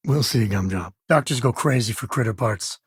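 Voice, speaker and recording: An actor playing an easygoing, middle-aged space explorer and single dad in a video game, with a husky voice and a folksy, wry way of talking. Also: male voice, mid-range voice, moderate pace, no room sound, studio quality. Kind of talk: video game companion dialogue.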